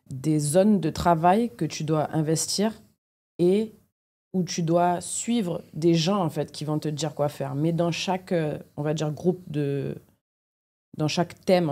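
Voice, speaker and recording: Low, mellow-voiced woman. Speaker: elite jiu-jitsu athlete, podcast guest. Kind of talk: explanatory interview talk.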